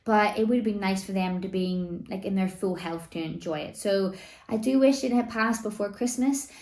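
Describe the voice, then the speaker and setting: A woman's voice: medium pitch, normal speed, a little mellow, roomy sound. A woman, chatting casually to camera as a vlogger, her voice still slightly worn from laryngitis, rambling with lots of "like" and "you know". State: recovering voice after laryngitis. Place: home room.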